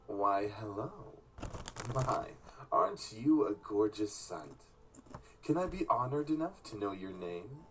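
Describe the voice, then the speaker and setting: Suave, flirtatious gentleman, lively swoops. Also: on the low side, takes it slowly, a little hiss or hum, low-quality recording. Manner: suave, courtly, flowery. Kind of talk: visual novel game acting.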